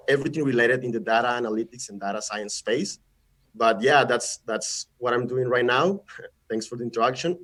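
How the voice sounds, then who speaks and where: A man's voice: medium pitch, fairly bright tone. Friendly man presenting. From Mexico. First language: Spanish. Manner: friendly, halting, often repeats words. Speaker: guest presenter. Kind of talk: casual live presentation. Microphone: video call.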